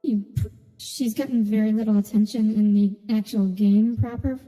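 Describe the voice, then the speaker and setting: Woman, game streamer, casual commentary, very mellow tone, reverberant sound, music behind. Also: mid-range voice, steady tone, normal speed.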